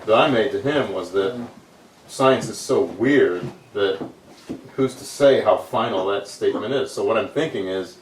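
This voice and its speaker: A man's voice: lowish voice, unhurried. A man making his points in an informal group discussion. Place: indoor room, recorded from a distance. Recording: echoey room, distant mic, faint noise.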